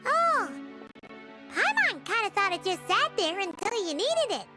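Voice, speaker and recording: A woman voicing a small, chirpy, talkative fairy-like girl companion with a childlike squeak in a video game cutscene. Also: big pitch swings, a little slow, over music, heavily acted. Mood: surprised. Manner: speaks of herself in third person, exclamatory.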